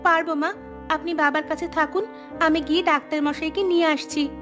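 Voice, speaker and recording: A woman voicing a devoted, gentle young village daughter-in-law in a cartoon, speaking earnestly and respectfully. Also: high-pitched, fairly even intonation, fairly quick, music in the background, close to an everyday voice. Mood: determined. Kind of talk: Bengali moral-tale cartoon dubbing.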